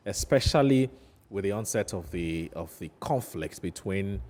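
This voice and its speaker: A man with a Ghanaian accent hosting a studio interview, phrasing things haltingly and often repeating himself. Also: sits low, expressive, darkish tone. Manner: hesitant phrasing, repeats questions back. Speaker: TV talk show host.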